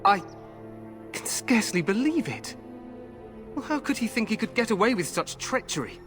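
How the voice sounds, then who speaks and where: An actor playing a confident, glory-hungry young king in a fantasy game, regal, grand and expressive. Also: sits high, moderate pace, over music. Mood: shocked, resolute. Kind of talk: fantasy video game acting.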